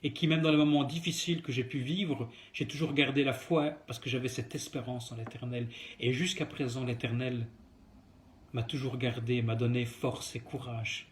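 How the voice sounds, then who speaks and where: A man's voice: medium pitch, steady tone, normal speed, a little hiss or hum. A man delivers a devotional talk, speaking earnestly to the listener and repeating phrases for emphasis. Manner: earnest, emphatic repetition and direct address. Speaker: preacher giving a daily devotional.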